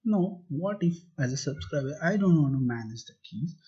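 A man walks through a tutorial step by step, posing questions and then answering them himself. His voice is husky and dark, and the recording sounds dull and muffled. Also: on the high side, lively intonation, normal speed. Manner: plain step-by-step explaining, asks and answers own questions. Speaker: tutorial instructor. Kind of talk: explaining slides and screen demo. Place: voice-over.

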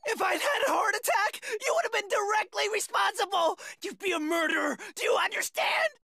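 A man's voice: extremely high pitch, brisk, gravelly, pushed and bright, no echo, raised voice, clean studio sound, faint music behind, full character voice. A man voicing a cowardly, whiny teenage boy swordsman in an anime dub, tearful and strained, wailing and stammering. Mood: indignant panic.

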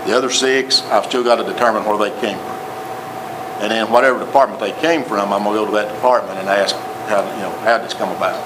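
A man's voice: on the low side, steady tone, fast. Plainspoken Tennessee man at council table. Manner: plainspoken, repeats himself while explaining. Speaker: city official reporting to council. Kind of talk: council meeting report. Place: council chamber with audience. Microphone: table microphone. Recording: noisy council chamber recording.

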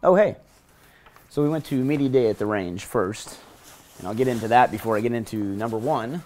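A man in his thirties with a hoarse voice, hosting a casual gear review vlog from a hotel room and balcony. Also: mid-range voice, brisk, slight background noise. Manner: energetic, talks with his hands. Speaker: host.

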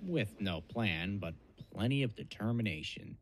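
A man narrating a children's train story in an expressive, scripted storybook style. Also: on the low side, normal speed, crowd noise.

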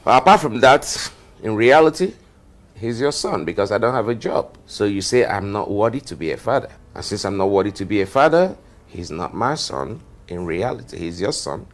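A man's voice: on the low side, expressive, moderate pace, close to an everyday voice. A man with a Nigerian accent playing a jobless, suspicious husband who taunts and exclaims in a screen drama. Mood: mocking, suspicious.

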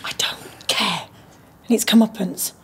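A woman playing a sharp, controlling colleague in a comedy soap, clipped and scolding, with a husky breathy voice. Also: mid-range voice, fairly even intonation, unhurried. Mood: irritated.

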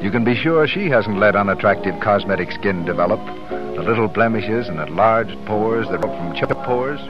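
A man announcing on 1930s radio, reading in a formal, polished sales style. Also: fairly low pitch. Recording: dull 1930s radio broadcast.

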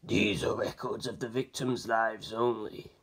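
A reader doing a slow, low, grave male investigator. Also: breathy edge. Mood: grave, matter-of-fact. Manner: slow, flat, deliberate. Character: grave, measured adult male investigator, terse and matter-of-fact.

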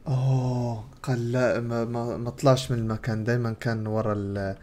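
Young man, Levantine accent, mid-pitched, casual streamer commentary, translating aloud, game noise in background. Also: flat delivery, brisk, darkish tone. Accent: Levantine Arabic. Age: young adult. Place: indoor room.

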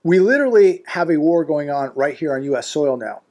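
Fifties male vlogger, seated indoors at home, alarmed commentary; emphatic, repetitive, lots of fillers, slightly higher voice. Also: expressive, brisk, a little mellow. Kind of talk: unscripted news commentary monologue. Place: living room indoors.

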